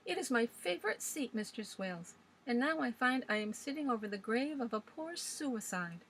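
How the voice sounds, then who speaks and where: A woman reading aloud voices a sweet young lady who pleads in a lighter, higher tone. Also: animated, normal speed, a bit bright, a little hiss or hum, rough recording. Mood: distressed.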